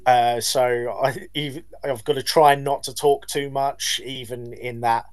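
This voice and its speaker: A man, a band's lead singer, answering interview questions in a measured, polite way with some hesitations. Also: medium pitch, level tone. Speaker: band lead singer, guest.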